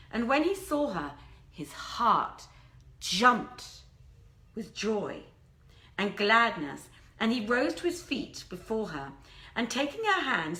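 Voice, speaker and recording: A woman giving a live, theatrical reading of classic tales with deliberate phrasing, recorded indoors in a theatre. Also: on the high side, bright, forceful tone. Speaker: storyteller, reader. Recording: roomy, echoing theatre sound.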